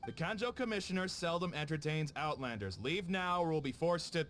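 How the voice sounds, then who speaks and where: An actor plays an officious male guard in a video game. His voice sits high for a man and moves around animatedly, over clear background noise. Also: expressive, brisk, natural voice. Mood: stern. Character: officious, flustered male guard serving a commissioner. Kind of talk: video game cutscene acting.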